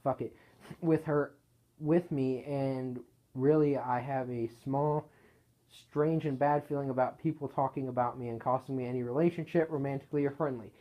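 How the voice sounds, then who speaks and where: Man, mid-pitched voice, casual storyteller, halting delivery, self-correcting. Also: fairly even intonation, muffled recording. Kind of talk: reading own written story aloud, with casual banter.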